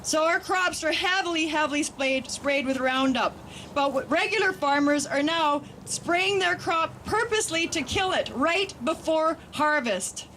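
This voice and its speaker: A woman's voice: high-pitched, measured pace, a bit bright. A woman giving an emphatic public rally speech, repeating key words for stress. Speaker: activist speaker at a rally. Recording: noisy outdoor event with traffic.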